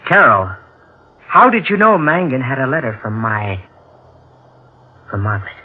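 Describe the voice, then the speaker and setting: Suspicious husband with animated, swooping delivery. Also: male voice, mid-range voice, a little slow. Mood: accusing. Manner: clipped, questioning, dramatic. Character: suspicious, brooding husband, probing and insistent. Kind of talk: 1940s radio mystery acting. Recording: narrow, faintly noisy vintage radio recording.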